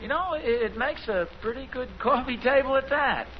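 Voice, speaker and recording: A man's voice: very high-pitched, lively intonation, normal speed. An actor playing a mild, flustered suburban husband and father in a 1950s radio sitcom, stammering and defensively proud. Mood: mild, sheepish. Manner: stammering, hesitant. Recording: muffled, hissy 1950s radio recording.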